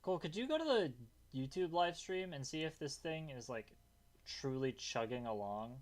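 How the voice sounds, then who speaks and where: A young man streaming a game, chatting casually with a calm, relaxing voice, rambling loosely and swearing often. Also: on the high side, moderate pace. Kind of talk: casual gaming stream chat.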